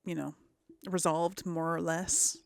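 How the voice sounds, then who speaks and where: A woman's voice: lowish voice, flat delivery, slow, vocal fry, a little mellow, dry recording. A woman giving casual, rambling game commentary to viewers, thinking aloud as she plays. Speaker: gaming host.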